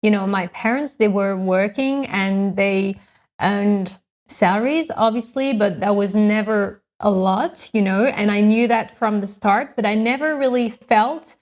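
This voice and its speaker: A woman's voice: mid-range voice, unhurried. A woman in her late twenties from France, a podcast guest speaking thoughtfully in a casual interview, with frequent "you know" fillers. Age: late 20s.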